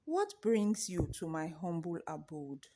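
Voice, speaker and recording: A woman voicing a kindly, wise old male sage in a storybook, with gentle, unhurried delivery. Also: fairly low pitch, big pitch swings. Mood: warm welcoming. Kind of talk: storybook character reading.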